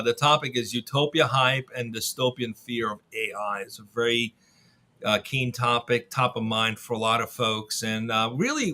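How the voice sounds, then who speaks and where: A man's voice: mid-range voice, bright, forceful tone. A man hosting an interview show, upbeat and chatty, with occasional uhs. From Southern California. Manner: upbeat, conversational with fillers. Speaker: podcast host.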